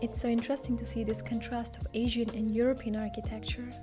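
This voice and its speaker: A woman from Pakistan talks straight to her viewers in a chatty, casual vlog. Her voice sits fairly high. Music plays clearly behind her. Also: little pitch movement, quick pace. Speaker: vlogger; English teacher.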